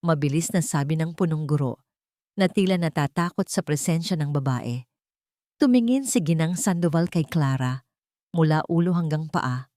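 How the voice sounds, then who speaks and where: A woman's voice: low voice, normal speed, dark tone, dry recording, clean studio sound. A woman narrator reading a scripted romance story in a dramatic storytelling style.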